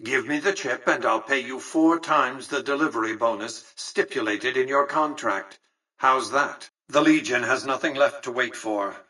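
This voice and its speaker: An imperious old tycoon ruling a casino city in a video game. He sounds set back from the mic, with a bright, tense tone and a rough grain. His delivery is pompous and precise, measuring each phrase with cool condescension. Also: medium pitch, far from the mic, poor audio. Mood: stern, businesslike. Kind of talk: scripted video game character dialogue.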